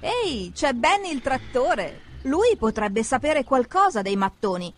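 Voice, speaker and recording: A woman's voice: sits high, a little slow, a little hiss or hum. A woman narrating a children's cartoon from a script, expressively, speaking straight to the characters. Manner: expressive, addresses characters directly.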